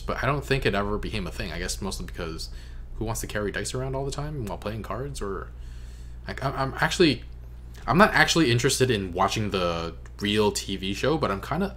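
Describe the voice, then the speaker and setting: A man in his twenties giving casual, amused reaction commentary in a halting way, at a desk mic in a bedroom. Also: fairly low pitch, fairly bright tone. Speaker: reaction video host. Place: small bedroom. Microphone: desk microphone close by. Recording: faint background hum.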